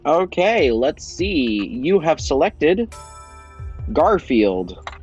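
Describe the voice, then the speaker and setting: A man with a fairly high voice hosts a quiz show, reading out questions and answers with slow, suspenseful pauses. Light music plays beneath him. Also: sing-song, a little mellow, lo-fi sound. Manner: upbeat, drawn-out question reading with dramatic pauses. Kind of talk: hosting a voiced game show on a video game platform.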